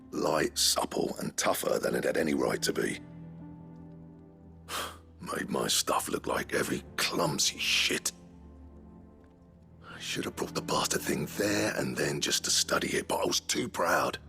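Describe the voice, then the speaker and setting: Very deep, gravelly gruff old blacksmith. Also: male voice, pushed and bright, exaggerated voice. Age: older. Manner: blunt, grumbling, curt. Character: gruff, proud old male blacksmith, sullen and foul-mouthed. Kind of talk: video game voice acting.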